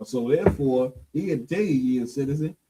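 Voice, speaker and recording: A man's voice: medium pitch, expressive, moderate pace, very noisy. A man hosting a streamed talk show, preaching emphatically and prompting the others with rhetorical questions. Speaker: podcast host leading a religious discussion.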